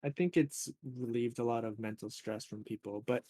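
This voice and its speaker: A man's voice: medium pitch, moderate pace, no room sound. Laid-back man hosting a podcast. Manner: relaxed, filler-heavy, laid-back gamer talk. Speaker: podcast host. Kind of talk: casual chat.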